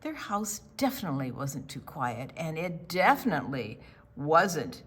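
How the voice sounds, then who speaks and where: A woman hosting a children's storytime, reading a picture book aloud with theatrical, deliberate phrasing that draws in young listeners. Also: low voice, big pitch swings, fairly bright tone, husky.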